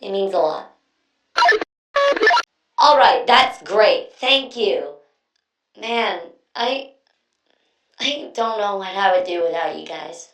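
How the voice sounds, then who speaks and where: A woman's voice: medium pitch, lively intonation, slow pace, pauses often, a bit bright. A woman voicing a friendly, earnest young female inventor in a video game, talking in a casual, chatty, slightly hesitant way. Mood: grateful, upbeat. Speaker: video game quest character. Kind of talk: scripted game voice lines, conversational. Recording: roomy, echoing, distant-sounding voice.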